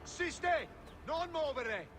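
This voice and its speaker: Shouting Roman soldiers. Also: male voice, very high-pitched, very animated, very slow, heavily acted. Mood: alarmed. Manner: barking commands. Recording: game audio under loud music.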